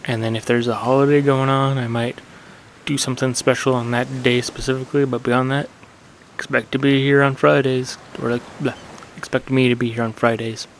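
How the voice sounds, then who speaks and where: A man's voice: medium pitch, flat delivery, brisk, a little hiss or hum. A man hosting his own gaming channel, talking in a casual, meandering way and correcting himself as he goes, with a soothing voice. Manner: meandering, self-correcting with fillers. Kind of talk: casual reflective monologue.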